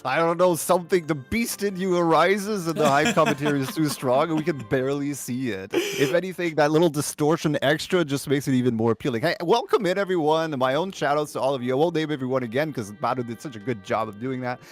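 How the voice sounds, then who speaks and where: Relaxed male esports co-commentator with a slightly high voice, game music faint behind. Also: fast talker, hardly any pauses.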